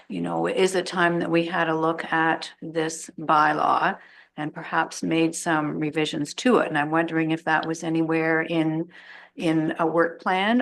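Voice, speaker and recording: A woman with a very low, gravelly voice speaks at a council meeting. Her pitch stays flat and even as she poses measured, thoughtful questions. She speaks into a desk microphone in a council chamber. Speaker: deputy mayor.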